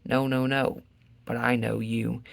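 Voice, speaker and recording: A man voicing a flattering robot photographer with a fake French accent and broken, gushing phrasing, in a story reading. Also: medium pitch, unhurried, vocal fry, dry recording.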